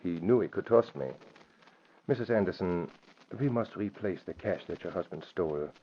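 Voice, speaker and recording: An actor in an old-time radio drama playing a scheming casino owner, formal and persuasive in manner. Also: male voice, sits low, lively intonation, moderate pace. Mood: grave, persuasive. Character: smooth-talking, scheming male gambling casino owner. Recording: dull, noisy old radio recording.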